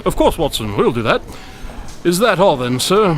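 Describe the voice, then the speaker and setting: Wry, pompous gentleman detective. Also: on the high side, very animated, moderate pace, faint music behind. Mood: breezily confident. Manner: dry, pompous, precise wording. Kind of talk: radio drama acting.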